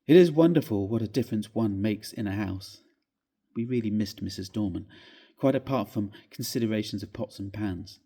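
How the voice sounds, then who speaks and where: Mellow, husky man reading theatrically. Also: on the low side, normal speed. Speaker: story reader. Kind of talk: dramatic literary reading of a classic ghost story. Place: inside a church, live reading.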